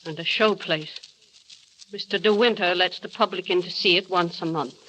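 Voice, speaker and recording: An icy, formal housekeeper. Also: female voice, on the low side, moderate pace, sharp tone, slight background noise, low-quality recording. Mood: cold formal. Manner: stiff, formal and cool. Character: cold, formal woman housekeeper of a manor. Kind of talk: 1930s radio drama acting.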